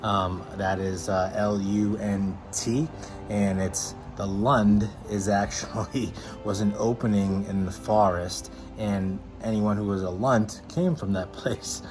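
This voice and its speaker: Forties male realtor, level low delivery, over a music bed. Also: fairly even intonation, slow pace. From Massachusetts. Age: 40s. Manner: rambling, many fillers and restarts. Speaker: real estate agent. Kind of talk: casual self-introduction. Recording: music playing clearly under the voice.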